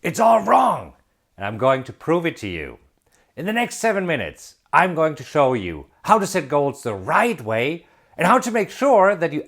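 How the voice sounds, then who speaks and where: Guy in his 40s, upbeat motivational talk, voice swings up and down a lot, takes it slow. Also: mid-range voice. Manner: emphatic, rhetorical questions, builds excitement. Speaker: self-help video presenter.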